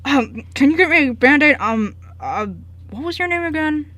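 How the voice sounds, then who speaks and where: A woman's voice: sits high, animated, moderate pace, bright, forceful tone, slight background noise. A woman playing a breezy young male journalist with secret magic in an amateur radio play, talking in a casual, joking, slangy way. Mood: theatrical panic.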